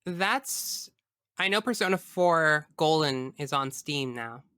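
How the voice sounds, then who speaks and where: A woman's voice: deep voice, lively intonation, slow, bright, forceful tone, no echo, clean studio sound. A young woman chatting casually and emphatically as a guest in an interview. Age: young adult. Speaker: podcast guest. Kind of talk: casual interview chat about video games.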